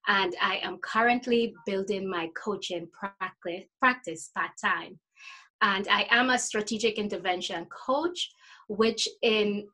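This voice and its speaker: A woman in her forties with a Trinidadian accent, answering interview questions casually, often pausing to find her words. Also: medium pitch, fairly bright tone, low-quality recording. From Trinidad and Tobago. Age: 40s. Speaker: interview guest, life coach.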